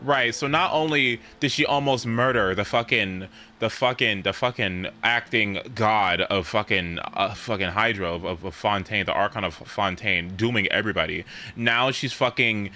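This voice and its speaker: A man's voice: lowish voice, normal speed, pushed and bright. A man livestreaming reactions to a story game, talking in a theatrical, repetitive, joking way. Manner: theatrical mock outrage, heavy swearing, repetitive. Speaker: game streamer. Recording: faint game music behind.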